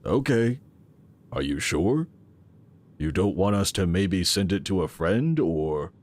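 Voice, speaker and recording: A man's voice: sits low, very animated, a little mellow, exaggerated voice. An actor playing a welcoming, solicitous male leader of a futuristic organization in a sci-fi audio drama. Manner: unhurried, solicitous.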